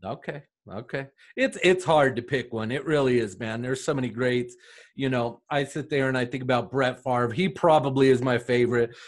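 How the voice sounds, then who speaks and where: A man's voice: mid-range voice, normal speed. Brief: male interview host, friendly and encouraging. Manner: upbeat, encouraging questioner. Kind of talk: casual interview.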